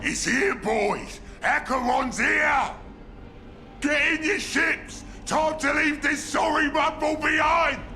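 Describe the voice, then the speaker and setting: Bellowing, gravelly Cockney ork warboss. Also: male voice, very high voice, slow pace, sharp tone, raised voice, faint music behind, heavily acted. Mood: rallying, urgent. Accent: Cockney English. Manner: bellowing, gleeful, rough slangy commands. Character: brash, bellowing ork warboss, big brutish and cunning. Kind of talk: video game character acting.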